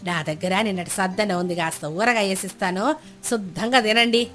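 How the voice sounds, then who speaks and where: A woman's voice: medium pitch, moderate pace, a bit bright, close to an everyday voice. Woman acting a kindly elderly village woman, music behind. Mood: warm, forgiving. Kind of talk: moral storybook character dialogue. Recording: music bed under the voice.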